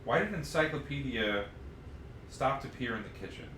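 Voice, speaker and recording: A man's voice: mid-range voice, lively intonation, moderate pace, pushed and bright. A man co-hosting a podcast, chatting casually and reading bits aloud while he puzzles things out informally. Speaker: podcast co-host. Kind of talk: casual chat and reading story passages aloud. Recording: roomy, noisy livestream audio.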